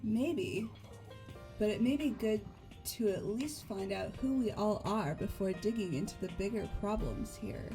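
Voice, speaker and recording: A woman's voice: medium pitch, expressive, a little slow, mellow. A woman reading out character dialogue as part of a game playthrough with friends, music behind her.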